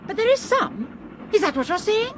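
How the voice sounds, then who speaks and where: A woman's voice: very high voice, sing-song, moderate pace, background noise. An actress playing an impatient, worried woman in a British radio drama.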